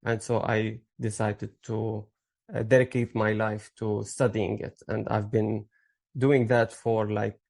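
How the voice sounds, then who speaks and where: A man's voice: fairly low pitch, little pitch movement, takes it slowly, gravelly, a little mellow. A man in his thirties from Syria, a podcast guest telling his story in a rambling way, with fillers and restarts. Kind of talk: casual interview storytelling.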